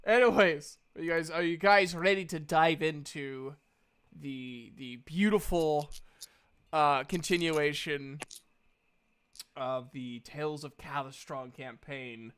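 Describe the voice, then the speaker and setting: A man with a notably high, crisp and clear voice runs a tabletop game session. He chats casually as game master, playful and animated, with easy swearing. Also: takes it slowly.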